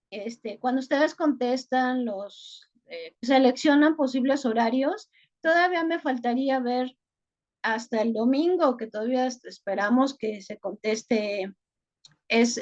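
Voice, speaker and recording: Mexican woman teacher, chatty, filler-heavy, slightly high voice heard through a dull video-call line. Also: darkish tone. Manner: chatty, frequent "este" fillers. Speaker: online course teacher. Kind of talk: online class, reading chat introductions. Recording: muffled lo-fi video-call audio.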